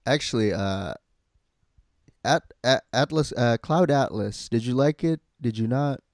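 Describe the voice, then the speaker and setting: A man hosting a podcast in casual, halting chat peppered with profanity. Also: medium pitch, expressive, a little mellow, no echo, studio quality. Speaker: podcast host.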